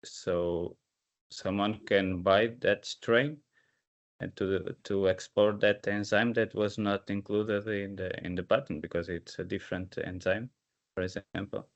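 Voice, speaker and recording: A man with a very deep, flat voice moderates a workshop question round. He asks questions slowly and haltingly, with many fillers. He speaks in a steady monotone.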